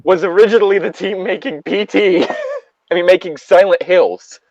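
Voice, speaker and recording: A man's voice: sits high, wildly swooping pitch, normal speed, darkish tone, no echo, lo-fi sound. Sweary, rambling man bantering casually. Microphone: voice call.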